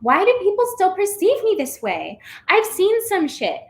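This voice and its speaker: A stand-up comedian with a high voice, delivering a dry, deadpan set. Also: female voice, moderate pace.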